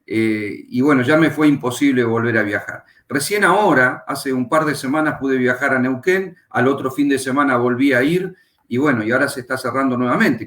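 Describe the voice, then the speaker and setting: A middle-aged Argentine man, a pastor and Bible teacher, calmly telling his life story as a guest in an interview. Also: medium pitch. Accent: Argentine Spanish. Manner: unhurried, reflective, pauses with fillers. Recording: echoey room.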